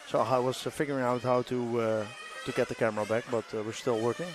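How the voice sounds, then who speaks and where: Male event host, mid-range voice, halting and casual, reading viewer questions; clear track noise in background. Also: medium pitch, fairly even intonation. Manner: hesitant, many fillers. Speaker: livestream host. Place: trackside stage at a race event.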